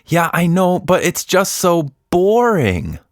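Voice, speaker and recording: A man's voice: sits high, wildly swooping pitch, slow, no echo, clean studio sound, voice pushed up, full character voice. A man voicing a young girl, eager but argumentative and a bit reluctant, in a children's story. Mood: sheepish, protesting.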